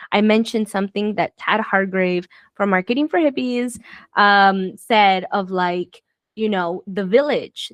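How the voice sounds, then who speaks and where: A woman's voice: mid-range voice, no room sound, clean studio sound. A woman raised in Southern Florida whose first language is Spanish, talking reflectively in a casual conversation, with many fillers and restarts and bursts of exasperation. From United States, raised in Southern Florida.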